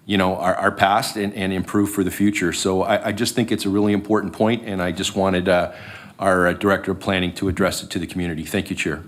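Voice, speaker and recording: A man with a fairly low voice speaks as a town councillor during a meeting. He talks in a halting way, leaning on fillers as he makes his point.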